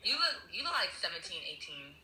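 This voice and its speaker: A girl on a video call, playfully scolding a stranger and quick to argue with him. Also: on the low side, very animated. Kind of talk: casual chat. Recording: noisy echoey video call audio.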